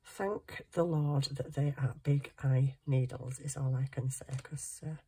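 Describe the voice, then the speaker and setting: A woman's voice: very deep voice, steady tone, unhurried, mellow. A middle-aged woman with a congested cold, chatting casually and self-deprecatingly as she does crafts. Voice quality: husky, congested nose. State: bunged up with a cold, sniffing. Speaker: craft channel host. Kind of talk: casual chat while hand sewing. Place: indoors at a cluttered craft table.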